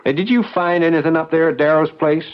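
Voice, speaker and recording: A man acting a hot-headed young rustic in an old radio play. The voice is fairly high with a rural American drawl, plain-spoken and stubborn. The recording is dull and dated. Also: quick pace, clear, resonant tone, lightly acted. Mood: amused. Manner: folksy, plain-spoken, defiant. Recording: dull vintage radio drama sound.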